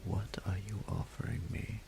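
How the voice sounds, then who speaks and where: A man playing a proud, menacing and seductive dragon ruler in a romantic audio roleplay, speaking formally in a breathy, gravelly half-whisper. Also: sits low, little pitch movement. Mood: intrigued, condescending. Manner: haughty, formal, deliberate.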